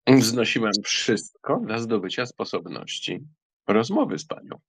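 A man's voice: fairly low pitch, very animated, unhurried, breathy edge, dry recording, full character voice. An actor playing a proud, audacious young count in an audiobook, suave and insistent as he courts a lady.

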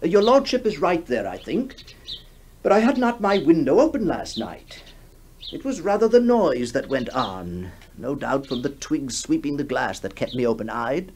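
An actor playing a weary, uneasy country gentleman in a radio horror story, with formal speech. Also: fairly high pitch, very animated, moderate pace. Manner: formal, troubled. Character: weary, uneasy English country squire, well-bred. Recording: noisy 1960s radio broadcast.

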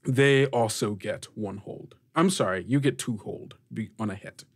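A man running a tabletop roleplaying game as game master, explaining rules in a halting, conversational way. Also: lowish voice, breathy edge.

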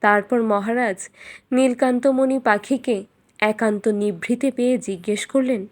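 A woman storyteller reading a scripted fairytale in an expressive, dramatic way. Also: on the high side, normal speed.

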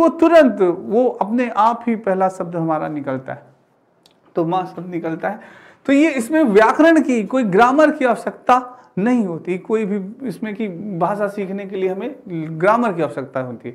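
A man's voice: high voice, expressive, normal speed. Man teaching with repeated rhetorical questions. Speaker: teacher giving an exam-prep class. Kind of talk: explanatory classroom lecture to camera. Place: indoor studio with digital teaching board.

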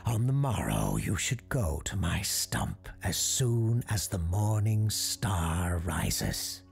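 A woman's voice: a bass voice, sing-song, vocal fry, faint music behind, heavily acted. Woman voicing an ancient whispering tree spirit. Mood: solemn. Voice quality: hushed, whispery, slow and stately. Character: ancient solemn talking Christmas tree spirit. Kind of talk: audio drama storytelling.

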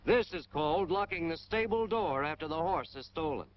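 High-pitched American man, old noisy film narration. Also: expressive, moderate pace. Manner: hard-boiled, punchy, emphatic delivery. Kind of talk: 1940s military training film narration. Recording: dull, noisy 1940s film soundtrack.

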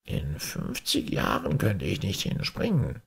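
A wise, helpful old frog from a storybook. The voice is husky and strained, pitched up from a deep natural register, with slow, croaking words. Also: male voice, wildly swooping pitch, a bit bright, heavily acted. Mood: weary.

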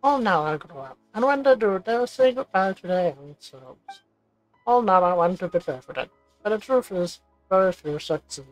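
A woman's voice: medium pitch, lively intonation, slow, faint music behind, poor audio. A woman playing a game, reading its dialogue aloud and adding casual commentary. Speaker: game player.

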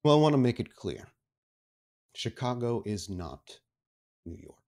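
A man voicing a patient, menacing vampire prince in a tabletop game, speaking slowly and with measured authority. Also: mid-range voice, very animated, darkish tone, pitched above the performer's own voice. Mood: stern, measured. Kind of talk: in-character tabletop roleplay speech. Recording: music behind the voice.

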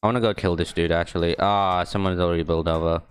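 A young man casually talking to himself while streaming a video game, rambling and joking, with high yelps in fights. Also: fairly even intonation, quick pace, clean tone. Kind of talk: casual gaming stream commentary.

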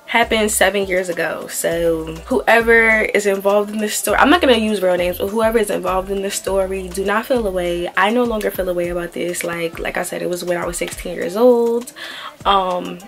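A woman in her early twenties talks like a vlogger telling friends a story. Her voice sits at a middling pitch and is a little stuffy from a head cold. She is informal and chatty, though somewhat tired. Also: moderate pace, faint music behind. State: slightly nasal from a head cold. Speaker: vlog host. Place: small indoor room with clothing rack.

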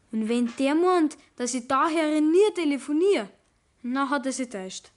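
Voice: medium pitch, close to an everyday voice. A young performer playing a cheeky, sullen teenage apprentice boy with a Bavarian accent in a 1960s radio play, defiant and grumbling.

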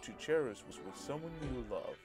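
A man's voice: medium pitch, expressive, moderate pace. A man delivering scripted movie trailer narration as an announcer. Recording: loud music under the voice.

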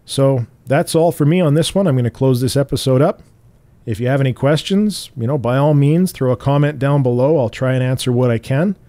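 A man with a naturally bassy, mellow voice talks through a software tutorial at an easy pace. He explains in a relaxed way, now and then restarting a phrase. The sound is dry and close, recorded at home. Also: fairly quick, dry recording. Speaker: tutorial host.

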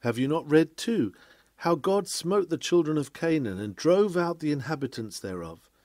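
A man reading a sermon aloud from a script in a formal, oratorical, measured manner. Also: fairly high pitch, expressive, a little mellow, dry recording, clean studio sound. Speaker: reader of a historical sermon.

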